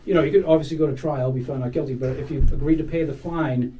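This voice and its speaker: A man in his fifties, an officer, explaining procedure in a halting, repetitive way in a court lobby. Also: on the high side, steady tone, rough recording. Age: 50s. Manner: hesitant, repeats himself, explains patiently. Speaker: federal officer. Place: federal court lobby, indoors. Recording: crowd noise in a lobby.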